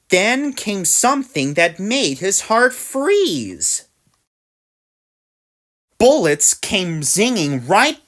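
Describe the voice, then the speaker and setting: A woman reading a rhyming storybook aloud, with dramatic, deliberate phrasing. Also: mid-range voice. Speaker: story reader. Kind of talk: expressive read-aloud storybook narration in rhyme.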